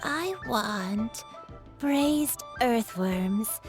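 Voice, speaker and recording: A woman voicing a gentle, forgetful granny who sounds youthful, vague and wistful, in a video game. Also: on the high side, expressive, drawn-out words. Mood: vague, dreamy. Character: forgetful, gentle, dreamy granny who looks strangely young. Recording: faint music behind.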